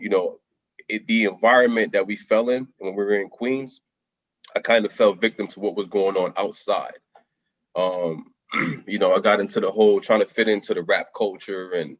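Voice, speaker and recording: A middle-aged man from Jamaica, a contractor guest telling his life story candidly in an interview, soft-spoken with frequent ums and throat clearing. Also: sits low, no room sound, lo-fi sound.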